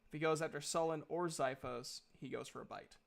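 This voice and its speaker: A man acting as game master in a casual tabletop roleplaying session, thinking aloud in a halting way as he works out dice and rules. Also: a little high, steady tone, gravelly.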